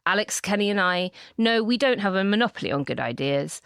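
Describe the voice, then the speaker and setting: A woman's voice: medium pitch, animated, fairly quick, fairly bright tone, clear, resonant tone, dry recording, studio quality. A woman co-hosting a podcast, presenting items and chatting with a guest. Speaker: podcast co-host.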